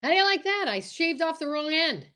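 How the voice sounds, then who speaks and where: A woman's voice: very high voice, big pitch swings, fairly quick, bright, forceful tone, full character voice. A woman playing a goofy, dim-witted male sidekick in a 1940s-style radio comedy. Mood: silly, clueless.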